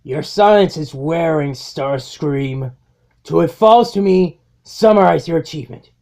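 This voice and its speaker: A man's voice: on the high side, expressive, a little slow, vocal fry, dark tone. A man voicing a domineering robot warlord in a cartoon-style comic dub, delivering theatrical, menacing monologues full of cold mockery. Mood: scornful tirade. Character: domineering robot warlord, male, coldly mocking his underling. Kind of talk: amateur comic dub acting.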